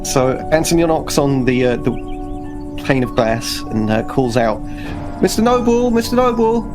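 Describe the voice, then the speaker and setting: A man playing a tabletop role-playing game, improvising in character in a halting, repetitive, earnest way. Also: mid-range voice, expressive, music in the background.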